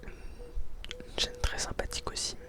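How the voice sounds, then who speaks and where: A young adult student whispering a casual ASMR chat, hesitant and full of restarts. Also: takes it slowly. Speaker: ASMR video maker.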